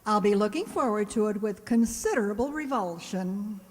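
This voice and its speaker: A woman's voice: medium pitch, lively intonation, in a large hall, lightly acted. A woman playing a sardonic, wisecracking English teacher with dry, arch delivery in old-time radio comedy style. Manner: dry, arch, quick with quips.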